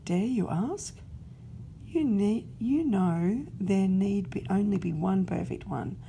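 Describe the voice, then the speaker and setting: A woman voicing God as a stern, loving father-king who speaks slowly and with great emphasis. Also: mid-range voice, vocal fry, mellow, a little hiss or hum. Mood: commanding. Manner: commanding, slow and emphatic.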